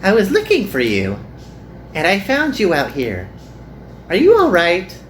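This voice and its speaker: A man's voice: high-pitched, wildly swooping pitch, unhurried, a little hiss or hum, pitched above the performer's own voice, full character voice. A man voicing a cheerful, caring young anime girl in a visual novel, with a friendly, coaxing manner. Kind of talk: playful visual novel character reading.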